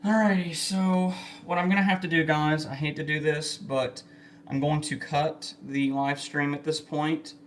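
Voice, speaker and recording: An American man giving casual livestream commentary as he logs weather warnings, halting and thinking aloud while he works. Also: fairly high pitch, level tone. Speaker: livestreamer spotting severe weather. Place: indoor room with computer and radio equipment. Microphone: phone set in a fixed spot. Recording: noisy amateur livestream with room hiss.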